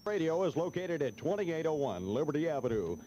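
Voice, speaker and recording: Fairly high-voiced American male DJ, noisy tape. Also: sing-song, brisk, mellow, highs cut off. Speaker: radio DJ. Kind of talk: casual phone-in contest patter on 1970s radio. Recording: noisy 1972 AM radio aircheck.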